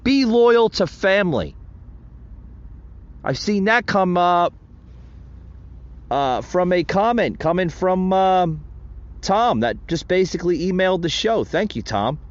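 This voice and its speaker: Man, podcast host, impassioned solo commentary, high lively voice, muffled lo-fi recording. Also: takes it slowly, pauses often. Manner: impassioned, emphatic, addresses listeners as folks.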